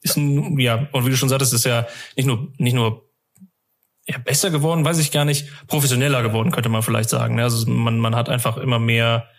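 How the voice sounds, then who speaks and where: A man's voice: mid-range voice, steady tone, brisk. A man hosting a fan podcast, chatting casually and thinking aloud in a colloquial, hesitant way.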